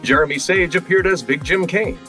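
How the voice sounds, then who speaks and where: A man's voice: sits high, a little fast, bright, forceful tone, faint music behind. A man playing a formal old-time radio announcer, reading scripted credits and teasers.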